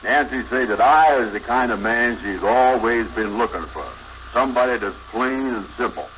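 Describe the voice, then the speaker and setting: A man's voice: medium pitch, normal speed, a bit bright, natural voice. An actor playing a simple, amorous, boastful man in a 1950s radio comedy, with folksy, ungrammatical, hesitant bluster. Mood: proud, excited. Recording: noisy, dull vintage radio recording.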